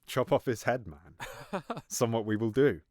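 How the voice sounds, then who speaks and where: An actor playing a ruthless, scheming royal uncle in Shakespearean audio drama, courteous in measured, formal verse. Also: male voice, medium pitch, animated, a little mellow, no room sound, clean studio sound, natural voice. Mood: coolly ruthless.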